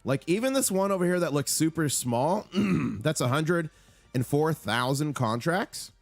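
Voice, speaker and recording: Hoarse, hyped man hosting a livestream. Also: a little high, lively intonation, a little slow, dry recording, faint music behind. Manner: urgent, hyped, repeats key numbers. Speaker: livestream host on silver markets. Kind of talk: excited live market commentary.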